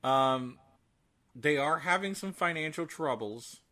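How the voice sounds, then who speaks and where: A man's voice: a little high, animated, slow pace, pushed and bright. A man co-hosting a casual podcast, emphatic and fond of repeating phrases for emphasis. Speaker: podcast co-host. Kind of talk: casual horror news chat.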